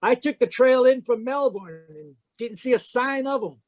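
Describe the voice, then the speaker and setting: A man plays a glib, high-pitched fellow from a frontier radio play. He is evasive and placating, with hesitant turns of phrase. The sound is close and dry. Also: normal speed, no room sound. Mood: smoothly placating. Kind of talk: old-time radio drama acting. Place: home, live online video performance.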